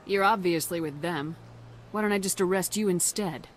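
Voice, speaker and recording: A woman's voice: lowish voice, normal speed, lightly acted. Woman playing a direct, no-nonsense space commander. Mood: direct, businesslike. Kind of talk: video game character dialogue.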